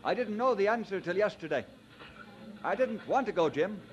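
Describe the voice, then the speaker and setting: A man's voice: high voice, expressive, moderate pace, dull audio. An actor playing an earnest, hesitant young man who longs to preach, in old-time radio drama style. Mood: earnest, resolved. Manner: earnest, hesitant, old-fashioned Scots turns of phrase. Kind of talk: mid-century radio drama acting.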